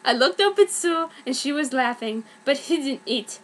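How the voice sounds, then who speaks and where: A woman's voice: high-pitched, expressive, moderate pace, a bit bright. A woman giving a mock-dramatic, theatrical amateur reading of a story. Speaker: reader of fan fiction.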